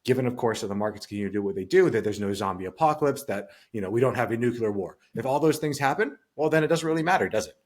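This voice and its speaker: A man's voice: medium pitch, lively intonation, very fast, hardly any pauses. A man co-hosting a podcast as a financial coach, talking earnestly and giving his opinions in a reflective, casual way.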